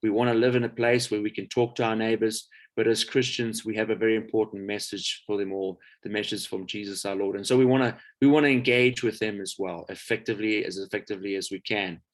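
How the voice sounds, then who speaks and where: A man giving an informal lecture as a guest speaker, relaxed and chatty, often pausing on filler sounds. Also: fairly low pitch.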